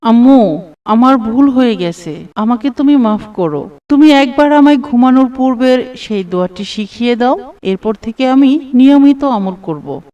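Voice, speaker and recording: A woman's voice: fairly high pitch, expressive, normal speed, mellow, distant mic, muffled recording, lightly acted. A woman voicing an earnest, pious and polite young schoolboy in a cartoon. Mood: apologetic.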